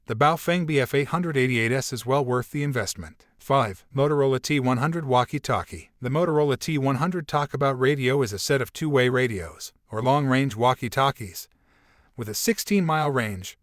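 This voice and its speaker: A man's voice: mid-range voice, dry recording. Man narrating a product review, dry studio sound.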